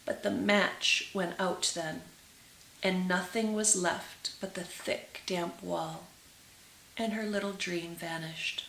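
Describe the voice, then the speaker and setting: A woman reading a story aloud in an expressive storybook style, with dramatic, deliberate phrasing and drawn-out words. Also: fairly low pitch, creaky, slight background noise. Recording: roomy, echoing sound.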